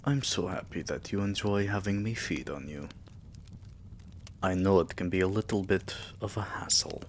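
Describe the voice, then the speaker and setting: A man playing a tender, possessive vampire king in an intimate roleplay ASMR, speaking softly and breathily with courtly endearments and often dropping into a whisper. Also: low-pitched, moderate pace, slight background noise. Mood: reassuring, affectionate. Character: tender, possessive male vampire king doting on his human pet.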